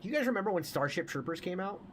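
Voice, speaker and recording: A man's voice: high voice, lively intonation, racing. Opinionated man swearing through podcast chat, some noise. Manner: profane, opinionated. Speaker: podcast host.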